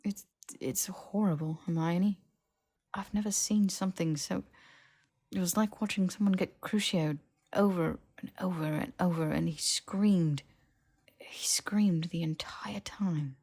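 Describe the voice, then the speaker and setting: Woman as young man, dry-witted, defensive, self-justifying, halting audiobook dialogue, lowish pitch. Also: a little mellow, breathy edge. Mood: pained.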